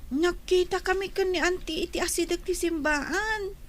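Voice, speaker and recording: A woman's voice: very high voice, steady tone, moderate pace. A woman voicing a curious, innocent young child in a radio drama, with an Ilocano accent and plaintive questions. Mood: pleading, wondering. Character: curious young child missing extended family, earnest and innocent.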